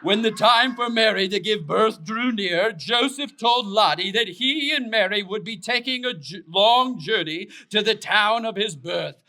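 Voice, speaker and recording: A man narrating a scripted children's puppet show story in an expressive, deliberate way. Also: high-pitched.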